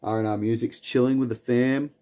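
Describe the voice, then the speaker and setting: A man with an Australian accent, hosting a late-night livestream and reading chat comments aloud. He rambles in a relaxed way, with lots of "um"s and repeated words, and chuckles often. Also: mid-range voice, fairly quick, smooth. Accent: Australian, from Newcastle. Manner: chuckles often between lines. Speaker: livestream host.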